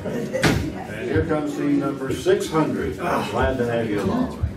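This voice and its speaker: A man's voice: mid-range voice, expressive, slow, distant mic, loud music behind the voice. A man playing a joke-cracking 1950s quiz show host, teasing the contestants with showman patter, in old-time radio stage acting. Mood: joking. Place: theater stage. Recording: roomy live theater recording from afar.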